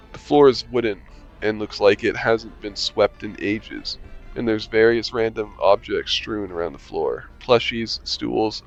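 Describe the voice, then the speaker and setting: A man's voice: lowish voice, highs cut off. A man reading a visual novel aloud for a let's-play, chuckling and adding wry asides. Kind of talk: casual let's-play narration.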